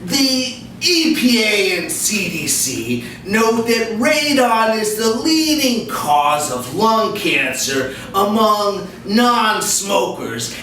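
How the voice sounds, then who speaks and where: A man playing an earnest questioner in a satirical puppet-show interview, reciting warnings with deliberate emphasis. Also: sits high, pushed and bright, exaggerated voice. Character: earnest questioner reading scientists' warnings to a bureaucrat. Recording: echoey room, distant mic, faint noise.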